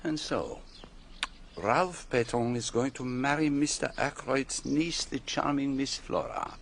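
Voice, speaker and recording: An actor playing a courteous, inquisitive retired detective in a radio drama, asking polite, probing questions with small hesitations. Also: male voice, medium pitch, slow, vocal fry, slight background noise. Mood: musing.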